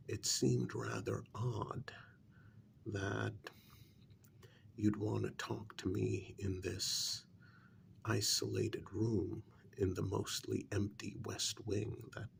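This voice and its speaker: A man acts out a gentle, reasoning male confidant in a vampire ASMR role-play. The voice is soft and fairly low, full of creaky fry, and often sinks into a whisper. He speaks very slowly and tentatively, weighing each phrase. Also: slight background noise, exaggerated voice. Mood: hesitant, wary. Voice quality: soft, breathy voice dropping into whispers. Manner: hesitant, measured, gently reasoning.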